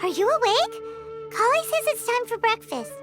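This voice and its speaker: A woman voicing a tiny, perky, childlike fairy companion in a video game, chirpy and excitable. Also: moderate pace, faint music behind, heavily acted. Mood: bubbly. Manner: chirpy, excitable, squeaky, refers to self in third person. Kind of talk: video game cutscene acting.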